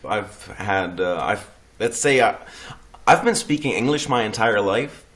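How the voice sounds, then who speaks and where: A man's voice: lowish voice, lively intonation, measured pace, a bit bright. A man from the Netherlands chatting casually as a guest, hesitant, often restarting his sentences and swearing offhandedly. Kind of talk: casual chat.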